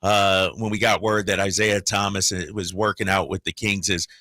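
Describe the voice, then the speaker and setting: A man's voice: low-pitched, flat delivery, moderate pace, sharp tone, no echo, clean studio sound. A man co-hosting sports radio, bantering casually and putting questions to a guest. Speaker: sports radio co-host, interviewer.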